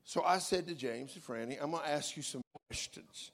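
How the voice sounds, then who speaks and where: Gravelly emphatic male pastor. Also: a little high, a little fast. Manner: emphatic, swings from quiet to loud. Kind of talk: sermon with interview on stage.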